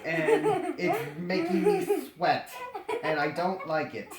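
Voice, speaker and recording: A man's voice: very high voice, wildly swooping pitch, slow pace, crowd noise. A man reading a story aloud as comedy, breaking off into jokey, dramatized ad-libbed asides. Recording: roomy echo, faint noise and clicks.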